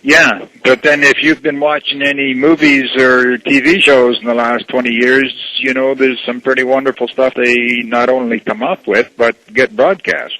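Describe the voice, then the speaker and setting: A man with a mid-range voice and a very dry sound talks on the phone as an organization's representative. He explains things casually but in a measured, guarded manner. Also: medium pitch, level tone, pushed and bright, no echo, poor audio. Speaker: church representative taking a call. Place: phone call.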